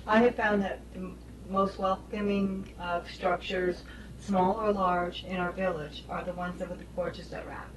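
A woman's voice: fairly low pitch, little pitch movement, normal speed. A woman talking informally as a board member in a meeting discussion. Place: small meeting room, indoors. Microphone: distant room camera. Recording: distant, noisy meeting-room recording.

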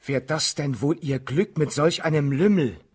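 An actor in a German radio drama playing an amorous young baron, scornful and coaxing, with a husky voice. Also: male voice, on the high side, moderate pace. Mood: indignant, coaxing.

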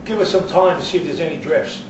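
High-voiced man teaching DIY in an echoey room. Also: fast talker. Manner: plain-spoken step-by-step explaining. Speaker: plumber instructor. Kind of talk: hands-on DIY demonstration. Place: indoors in a home kitchen. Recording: roomy echo, distant mic, dull lo-fi, noisy.

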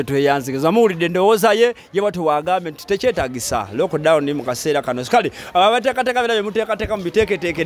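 Street interview host: man, Ugandan, quick delivery, high register, playful and repetitive presenting. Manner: animated, repetitive, bantering. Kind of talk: lively vox-pop presenting. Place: busy open-air market.

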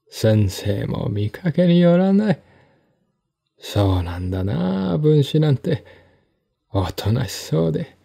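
A man acts out a burly lawyer with the air of a gangster in a mystery radio play. His voice is very dark and gravelly, and he talks slowly, with oily courtesy and a teasing smirk. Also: fairly high pitch, pitched above the performer's own voice, exaggerated voice. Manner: smirking, falsely polite, teasing. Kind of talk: one-man audio drama of a mystery novel.

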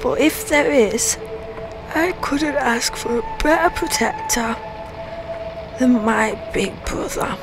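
A woman playing a sleepy, loyal young sister in a radio drama, with a drowsy, gentle manner. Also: high voice, slow pace, over music. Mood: drowsy, fond. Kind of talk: radio play acting.

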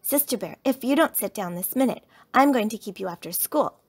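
A woman's voice: on the high side, animated, a little fast, slightly rough. A woman voicing a bossy six-year-old girl bear cub from a storybook, with a commanding, childish teacherly manner. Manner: commanding, schoolmarmish childish delivery.

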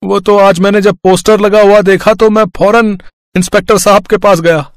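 Man putting on a high-pitched humble village labourer. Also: little pitch movement, moderate pace, dry recording. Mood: earnest.

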